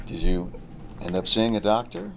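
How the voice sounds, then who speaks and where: A man playing an affable middle-aged brother-in-law feigning friendly concern, chatty and slightly halting, at a table read. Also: on the low side, very animated, creaky. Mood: feigned concern. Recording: noisy amateur recording.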